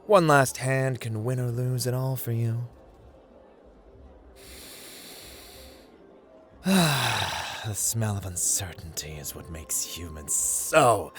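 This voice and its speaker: A man playing a playful, taunting god of luck who deals cards, in a theatrical ASMR roleplay with deliberate speech and hushed murmurs. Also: medium pitch, big pitch swings, faint music behind. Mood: taunting, intrigued. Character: playful, taunting male god of luck, smooth-talking card dealer.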